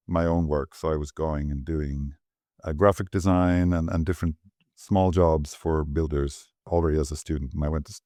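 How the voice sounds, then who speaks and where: Middle-aged man, deep voice, dead-dry sound; relaxed, rambling interview guest. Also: no room sound. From Sweden. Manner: rambling, thinking aloud with fillers and restarts. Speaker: architect, guest.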